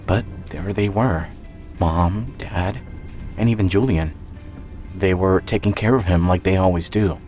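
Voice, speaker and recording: A man's voice: low voice, measured pace, a little mellow. A man reading a scripted first-person dramatic story as its author and narrator. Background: music.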